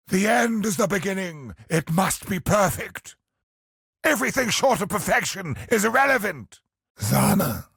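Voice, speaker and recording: An actor voicing a brooding, grandiose godlike villain in a video game, husky and gravelly, with an ominous, deliberate delivery. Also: male voice, sits high, fairly bright tone, dry recording, studio quality, full character voice. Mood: scornful, intense, then bitter, resigned. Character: godlike male cosmic villain, brooding, grandiose, obsessed with perfection. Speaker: video game boss character.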